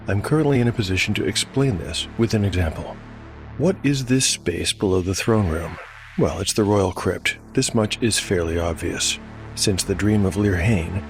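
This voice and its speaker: Low-pitched male fantasy narrator over music. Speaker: narrator and game master. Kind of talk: scripted narration of fantasy audio drama.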